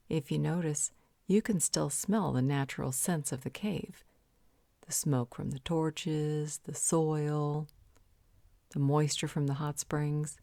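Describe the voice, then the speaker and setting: A woman's voice: deep voice, vocal fry, darkish tone, dry recording, clean studio sound, full character voice. A woman playing a confident, exacting potion maker in a roleplay audio drama, speaking in a matter-of-fact, critical way.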